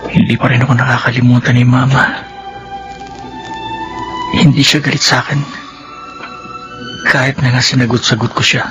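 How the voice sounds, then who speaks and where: An actor playing a sensitive, conflicted young son in a Filipino radio drama, earnest and emotional. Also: male voice, mid-range voice, level tone, moderate pace, long pauses, music in the background, lightly acted, low-quality recording. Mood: guilty, torn. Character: sensitive young son, torn over his mother.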